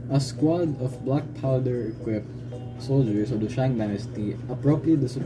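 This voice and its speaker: A man's voice: mid-range voice, normal speed, over music, poor audio. A man narrating a scripted story for a puppet show, reading aloud with occasional stumbles. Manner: stumbling read-aloud delivery.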